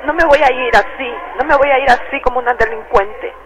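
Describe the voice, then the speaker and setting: A woman's voice: high voice, fairly quick. A woman with an Ecuadorian accent giving personal testimony over the telephone in a radio interview. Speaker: detainee giving testimony by phone. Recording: muffled, noisy telephone line.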